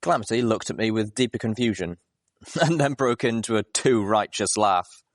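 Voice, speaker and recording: A man reads fiction expressively in a fairly low voice. His pitch moves a great deal from phrase to phrase, and the sound is dry, with little room in it. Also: expressive, moderate pace, no room sound. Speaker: audiobook reader. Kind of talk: expressive scripted story narration.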